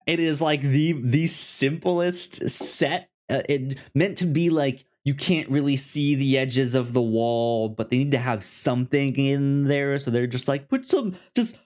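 Slow-paced man, halting and stammering. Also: mid-range voice, darkish tone. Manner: halting delivery, repeats and stammers words. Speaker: podcast co-host. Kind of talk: casual film discussion.

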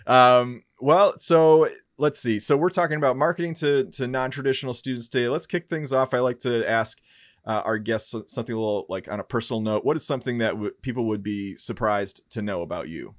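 A man's voice: medium pitch, brisk, no echo, clean studio sound. A man hosting a podcast interview, chatty and friendly, with many small hesitations. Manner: friendly, hesitant with fillers and laughs. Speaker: podcast host.

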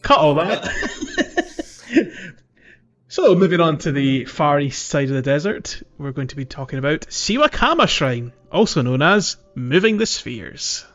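A man's voice: a little high, sing-song, a little slow, a bit bright, dull audio, soft background music. A man co-hosting a casual video game podcast, leading the discussion over an internet voice call.

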